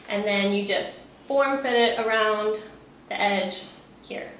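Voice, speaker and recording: Woman, bright clear-cut tone, casual how-to teaching, explanatory, heavily echoing room. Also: mid-range voice. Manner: informal, explanatory. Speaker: instructor. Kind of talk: casual instructional demonstration. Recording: very echoey room, faint background noise.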